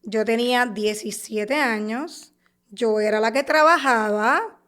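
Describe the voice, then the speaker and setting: A woman's voice: a little high, fairly bright tone. A woman with a Puerto Rican accent, a podcast guest in her thirties, giving a personal testimony and often restarting and repeating words as she speaks. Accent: Puerto Rican Spanish. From Puerto Rico. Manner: halting, repeats and restarts words while recounting.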